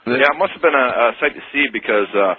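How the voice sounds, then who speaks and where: A man's voice: medium pitch, lively intonation, fast talker, husky. A man from Canada phoning a radio show, chatting casually in a slightly hesitant way. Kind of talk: casual chat. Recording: tinny phone line on radio.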